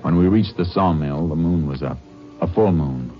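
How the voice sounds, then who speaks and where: Low-voiced Texas Ranger, played by a man, old radio. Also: normal speed, close to an everyday voice. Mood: grave, measured. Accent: American. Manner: terse, businesslike questioning. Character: calm, authoritative Texas Ranger investigator. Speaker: lead actor and narrator. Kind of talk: 1950s radio drama acting. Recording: muffled 1950s radio recording, loud music.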